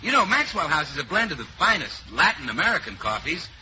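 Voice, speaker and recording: Brash high lively announcer guy, 1940s radio comedy, muffled with hiss. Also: fairly quick, faint music behind. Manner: brash, salesy. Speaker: radio announcer and comic actor. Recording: dull old radio recording with clear hiss.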